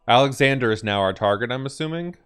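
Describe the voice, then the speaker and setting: Animated man reacting to a game. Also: medium pitch, fast talker, clear, resonant tone. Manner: thinks aloud, talks back to the characters. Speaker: gaming video host reacting to cutscenes. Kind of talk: casual game reaction commentary.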